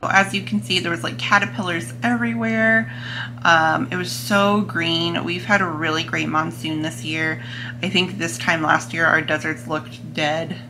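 A woman's voice: on the low side, steady tone, unhurried, sharp tone, a little hiss or hum, poor audio. A young adult American woman chatting casually to camera in a vlog, seated in a plain room at home. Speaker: vlogger.

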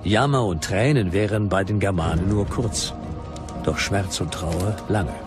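An actor playing a grave Roman historian, declaiming an ancient account deliberately in a documentary drama. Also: male voice, low-pitched, over music. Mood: grave, declamatory. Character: Roman historian Tacitus, grave observer of foreign tribes. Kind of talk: dramatised reading of ancient text.

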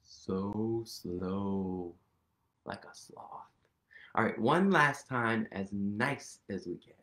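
A man's voice: fairly low pitch, drawn-out words, fairly bright tone. A man in his thirties reading a picture book aloud to young children, playful and sing-song, dropping into whispers, at home on a sofa in front of a webcam. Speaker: children's storytime reader. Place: living room at home, seated on a sofa.

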